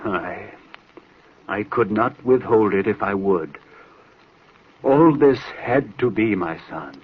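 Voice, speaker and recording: A man's voice: fairly low pitch, animated, slow. An actor playing a proud, furious old lord and father in declamatory radio drama style. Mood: subdued, solemn. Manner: imperious, declamatory. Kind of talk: 1940s radio acting. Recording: muffled, noisy old radio recording.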